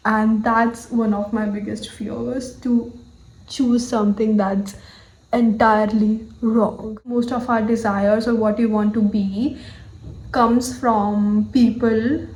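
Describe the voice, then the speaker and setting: A woman's voice: a little high, level tone, takes it slowly, a little mellow, echoey room, slight background noise, rough recording. A woman in her twenties with an Indian English accent, thinking aloud candidly in a personal video journal, often saying "like" and "you know". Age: 20s. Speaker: vlogger.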